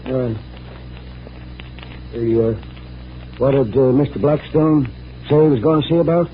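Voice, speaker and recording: An actor playing an earnest, easily spooked small-town sheriff with hesitant rustic speech, in old-time radio mystery style. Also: male voice, mid-range voice, a little slow, close to an everyday voice. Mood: uneasy, puzzled. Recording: muffled old radio recording, faint noise.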